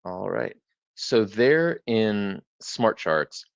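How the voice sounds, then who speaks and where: A man's voice: lowish voice, lively intonation, drawn-out words, vocal fry, no room sound, highs cut off. A man hosting a live real estate webinar, explaining data tools in a conversational way with frequent restarts.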